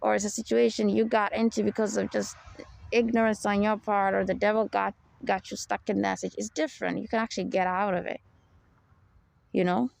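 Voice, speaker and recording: A young adult woman hosting a personal podcast, with a low, deep voice and a rambling, confessional way of talking, full of "you know" and soft laughs. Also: flat delivery, fairly quick, vocal fry, dry recording. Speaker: podcast host.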